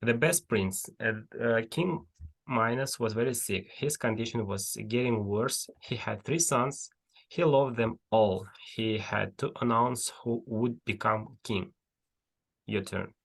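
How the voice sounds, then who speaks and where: A man's voice: mid-range voice, takes it slowly, creaky, low-quality recording. A man who is not a native English speaker hosts an online speaking club on a video call, talking hesitantly with many fillers and restarts. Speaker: club host. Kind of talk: casual online conversation and reading aloud.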